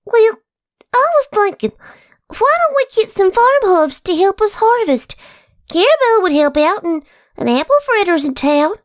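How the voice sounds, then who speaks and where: A tiny, extremely high voice for a little farm filly in a dramatic story. It is squeaky, girlish and swoops widely in pitch, with a Southern American twang and an inquisitive, caring temper. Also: big pitch swings, measured pace, dry recording, clean studio sound, heavily acted. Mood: hopeful. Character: curious, concerned little farm filly, younger sister. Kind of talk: dramatic fan fiction reading.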